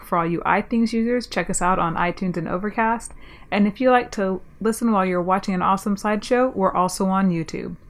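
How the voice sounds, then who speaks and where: A young woman hosting a solo podcast review, talking in an enthusiastic, chatty way with frequent ums, her voice a little stuffy from a cold. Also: mid-range voice. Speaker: podcast host.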